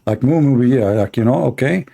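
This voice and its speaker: A man's voice: mid-range voice, animated, brisk, gravelly, dark tone. A man hosting a livestream debate, talking in a sarcastic, mocking, rambling way with lots of fillers.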